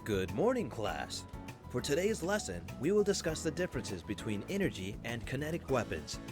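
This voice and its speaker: A man playing a formal, instructive professor in a video game, lecturing in a measured, articulate way. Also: mid-range voice, sing-song, close to an everyday voice. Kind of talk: scripted game voice acting, classroom lecture. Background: game music.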